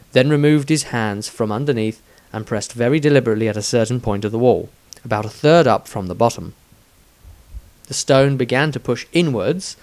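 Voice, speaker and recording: A man reading his own fantasy story aloud in a deliberate, dramatic storytelling manner. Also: medium pitch, dry recording. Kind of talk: scripted fantasy audiobook narration.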